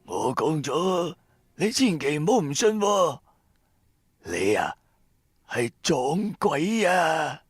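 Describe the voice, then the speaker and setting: A man's voice: fairly high pitch, big pitch swings, breathy edge, no echo, exaggerated voice. A man playing a shifty, theatrical fortune teller who poses as blind, drawing out his words mysteriously in a radio drama. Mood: ominous, knowing. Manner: theatrical, drawn-out, mock-mysterious. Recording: background music under voice. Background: music.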